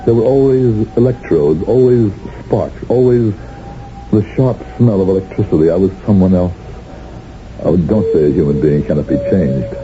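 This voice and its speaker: A low-voiced man, once a brutal colonel and now a gentle, haunted professor, played by a radio actor. He speaks deliberately, with halting dramatic pauses. The old 1970s radio recording is muffled, with music underneath. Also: natural voice. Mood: haunted, reflective. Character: reformed secret police colonel reborn as gentle professor, haunted.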